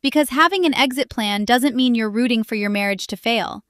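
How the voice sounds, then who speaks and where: A woman's voice: fairly high pitch, fairly quick, a bit bright, no room sound. Sassy woman in a dry, close recording. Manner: emphatic, sassy, fires off rhetorical questions. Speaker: commentary host. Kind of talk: direct-to-camera social commentary.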